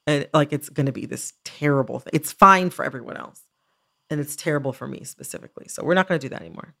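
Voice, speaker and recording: An American woman with a noticeably creaky voice co-hosts a casual podcast. She talks in a candid, self-aware, wry way, as in a relaxed conversation between colleagues. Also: deep voice, fast talker. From United States. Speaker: podcast co-host.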